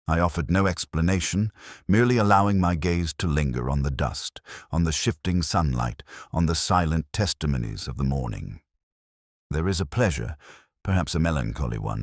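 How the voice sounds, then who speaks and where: A man reading literary detective fiction as a scripted narration, in a measured, reflective and formal manner. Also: a bass voice, no room sound, studio quality.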